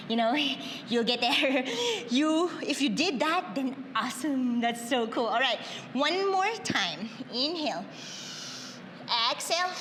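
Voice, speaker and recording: A woman's voice: high-pitched, reverberant, in a large hall, noisy background. A woman whose first language is Tagalog, leading a yoga class, cueing breaths in an upbeat way with frequent cheers. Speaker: yoga instructor. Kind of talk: guided yoga class instruction.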